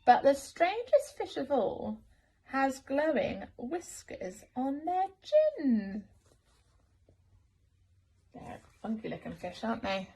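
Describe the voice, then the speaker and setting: A woman reading a rhyming picture book to children as a teacher, expressive and sing-song. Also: high-pitched, slow, pauses often, husky, poor audio.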